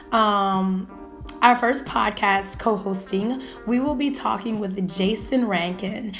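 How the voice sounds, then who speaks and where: A woman hosting a podcast, her voice a little raspy and hoarse today. She talks with eager enthusiasm and the occasional hesitant filler, at a middle pitch. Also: lo-fi sound. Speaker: podcast host.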